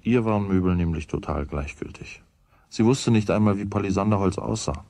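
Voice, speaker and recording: A man's voice: lowish voice, brisk, creaky, dark tone, dry recording. A man narrating a children's radio play as its scripted storyteller. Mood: measured.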